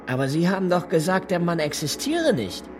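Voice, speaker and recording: An actor playing a menacing Gestapo interrogator in a radio play, coldly courteous, with measured, pointed questions. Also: male voice, high voice, big pitch swings, over music. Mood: brisk, controlled. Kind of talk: German radio play acting.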